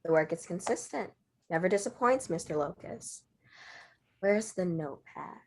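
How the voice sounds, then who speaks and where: A woman's voice: lowish voice, animated, moderate pace. A woman playing a guarded, businesslike reporter with some spice, reserved and matter-of-fact, in an audio drama table read.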